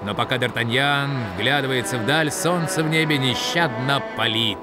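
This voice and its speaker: A man's voice: medium pitch, moderate pace. A man giving dramatic scripted cartoon narration in a theatrical, suspenseful manner. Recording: loud music under the voice.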